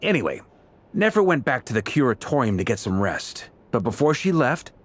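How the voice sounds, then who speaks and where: An actor playing an affable, wry veteran knight commander in a video game, speaking in a down-to-earth, conversational way. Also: male voice, mid-range voice, big pitch swings, normal speed. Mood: casual, easygoing. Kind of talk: naturalistic video game voice acting.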